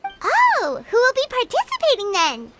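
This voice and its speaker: A woman voicing a tiny, cheeky fairy girl companion in a video game, with a squeaky, childlike, chirpy and chatty delivery. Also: huge pitch swings, moderate pace, very noisy, full character voice. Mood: curious. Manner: chirpy, refers to herself by name in third person. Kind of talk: scripted game character dialogue.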